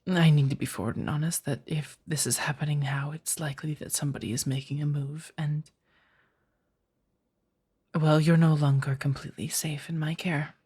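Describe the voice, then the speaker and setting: A woman playing a remorseful, tender vampire in an ASMR roleplay, speaking softly and haltingly, often slipping into a whisper. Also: deep voice, steady tone, slightly rough. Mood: hesitant, apologetic. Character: remorseful, tender female vampire rescuing her beloved.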